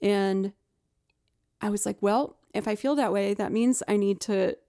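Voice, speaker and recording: A woman with a low alto voice, chatting casually and upbeat between songs on her live music stream. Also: steady tone, unhurried, dark tone, in a large hall. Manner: chatty and upbeat, thanking viewers.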